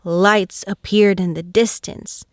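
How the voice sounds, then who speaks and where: A woman reading a children's storybook aloud as a storytime host, with expressive, dramatic and careful phrasing. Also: medium pitch, takes it slowly, slight background noise.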